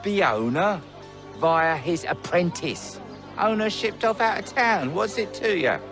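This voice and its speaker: Brusque, higher-voiced shopkeeper. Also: male voice, lively intonation, measured pace, over music. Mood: dismissive. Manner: casual, curt. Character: brusque, unbothered male shopkeeper. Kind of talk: video game cutscene acting.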